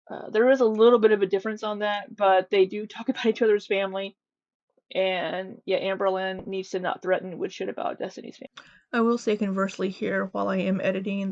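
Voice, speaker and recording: A woman hosts casual opinion commentary in a medium-pitched voice. The recording is close and dry, with a slightly dulled top end. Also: no room sound, muffled recording. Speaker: commentary channel host.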